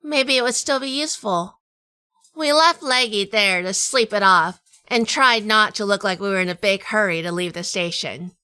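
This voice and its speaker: Bright, clear woman playing a wry noir heroine. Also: mid-range voice, moderate pace, no echo, close to an everyday voice. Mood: wry, weary. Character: Junie, wry, tough female sleuth in hardboiled noir. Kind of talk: first-person noir audio drama narration.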